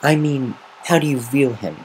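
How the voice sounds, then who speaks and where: A tomboyish young female pegasus in a story, performed by a man, uneasy and blunt. The pitch is lifted above a natural male register, with hesitant phrasing. Some faint noise sits on the amateur recording. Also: moderate pace, natural voice. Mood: probing, unsure. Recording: noisy amateur home recording.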